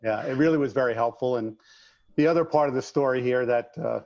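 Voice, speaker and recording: A middle-aged man with a slight New York accent tells his story in a chatty, folksy manner, often using the host's name. His voice sits at a middling pitch and comes through a slightly dull, remote-sounding line. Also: a little fast, vocal fry, a little mellow. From Long Island, New York. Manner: upbeat, folksy, addresses host by name. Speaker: guest, trades business owner. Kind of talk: casual interview chat.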